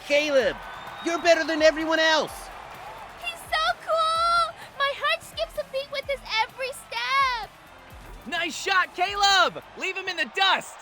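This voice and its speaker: A woman voicing starstruck young female sports fans who gush and shout in an audio drama. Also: very high-pitched, sing-song, measured pace, long pauses, over loud music, pitched above the performer's own voice, exaggerated voice. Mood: excited, adoring. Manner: gushing, shouting.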